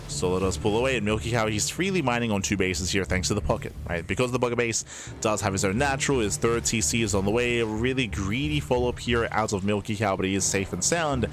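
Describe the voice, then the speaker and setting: A man from Australia with a mild Australian accent giving fast, chatty live commentary on a strategy game, with lots of fillers. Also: lowish voice, background noise. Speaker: esports caster. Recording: music playing behind.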